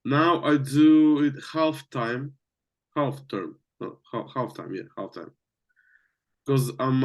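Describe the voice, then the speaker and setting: A young man with a medium-pitched voice and a Latvian accent speaks in a casual conversation. His native languages are Latvian and Russian. He is hesitant, often pausing and repeating himself as he looks for the right word. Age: young adult. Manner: hesitant, searching for words. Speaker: business coach.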